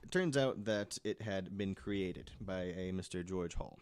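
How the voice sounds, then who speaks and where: Man, lowish pitch, casual storyteller, conversational, podcast panelist, checks in with listeners. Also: measured pace, a little mellow, clear, resonant tone.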